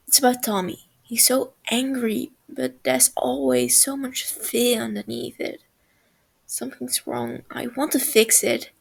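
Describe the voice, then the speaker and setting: A woman reading a story aloud, slow and soft, with a gravelly texture to her slightly high voice. She sometimes drops to a whisper. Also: poor audio. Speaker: story reader. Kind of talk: reading fan fiction aloud.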